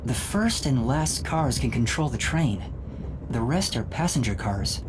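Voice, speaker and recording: A woman's voice: extremely low pitch, sing-song, measured pace, background noise, exaggerated voice. A woman playing an earnest, unsure young detective in a video game, musing aloud and questioning things. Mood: curious, musing.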